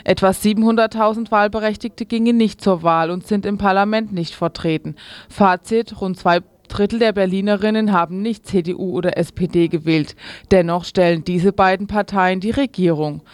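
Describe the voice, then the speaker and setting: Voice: mid-range voice, moderate pace, smooth, no room sound. A radio newsreader delivering a scripted news report.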